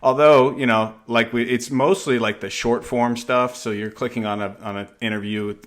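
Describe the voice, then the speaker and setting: A man's voice: medium pitch, fairly even intonation, normal speed. A man interviewing a guest on a podcast in an informal, somewhat meandering and hesitant way. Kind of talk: casual interview chat.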